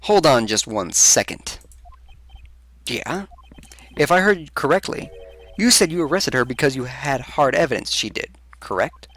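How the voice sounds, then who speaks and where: A man voicing an assertive defense attorney in a video game, pressing a witness with emphatic, drawn-out questions. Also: medium pitch, expressive, a bit bright. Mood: pressing, accusing.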